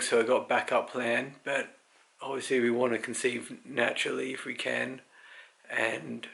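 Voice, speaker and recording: A man in his thirties talks off the cuff with plenty of vocal fry and a fairly flat melody. He rambles candidly, pausing to think aloud, in the manner of a personal video diary. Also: mid-range voice. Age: 30s. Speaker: vlogger. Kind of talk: casual unscripted personal health update.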